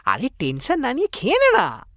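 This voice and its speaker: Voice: sits high, huge pitch swings, moderate pace, a bit bright, full character voice. A performer playing an affectionate, easygoing young boyfriend in an audio drama, speaking casually and coaxingly.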